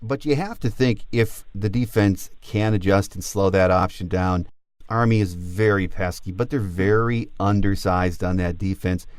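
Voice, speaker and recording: A fairly low American male voice, recorded dry and close. He hosts a sports podcast, moving between measured commentary and conversational interviewing. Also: clear, resonant tone, no room sound. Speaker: podcast host.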